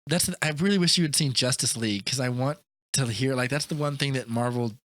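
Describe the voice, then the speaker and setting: A man's voice: mid-range voice, fairly quick, dry recording. Dry-sounding man in casual film talk. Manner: animated, opinionated, rambling fan talk. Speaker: podcast co-host.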